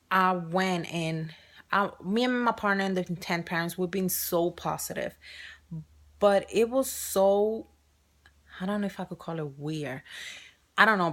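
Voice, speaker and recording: Woman w/ strong spanish accent vlogging, fairly low voice, chatty, keeps correcting herself. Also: a bit bright. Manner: chatty and open, with frequent restarts and self-corrections. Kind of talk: casual personal vlog.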